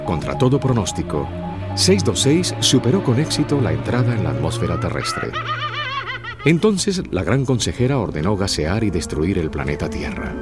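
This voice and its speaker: A man narrating a scripted children's story. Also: fairly low pitch. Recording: music behind.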